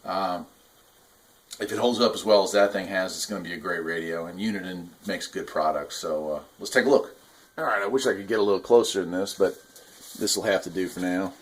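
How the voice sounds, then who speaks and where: Man, fairly low voice, hobbyist reviewer, casual unscripted demo, rambling hands-on explanations, keen on gear. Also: fairly quick, a little hiss or hum. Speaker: radio hobbyist reviewer and video host.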